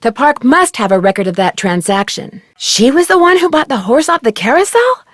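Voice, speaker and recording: A woman playing an inquisitive, polite young American detective in a video game, speaking earnestly and clearly. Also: sits high, sing-song, normal speed, dry recording, studio quality. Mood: thoughtful, encouraging. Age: young adult. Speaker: player character in an adventure game. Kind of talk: scripted video game dialogue.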